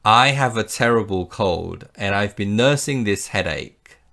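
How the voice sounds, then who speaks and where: A man teaching English in a slow, deliberate way. His pitch sits a little low but moves a lot as he stresses words, and he says each example sentence clearly for the listener to repeat. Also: animated, bright, forceful tone. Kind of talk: slow scripted English lesson.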